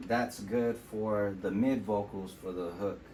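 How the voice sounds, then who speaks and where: Deep-voiced man explaining a vocal mix. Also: unhurried, loud music behind the voice. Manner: relaxed, explanatory, with fillers like "you know". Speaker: music producer demonstrating vocal mixing. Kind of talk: casual tutorial walkthrough. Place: temporary home studio.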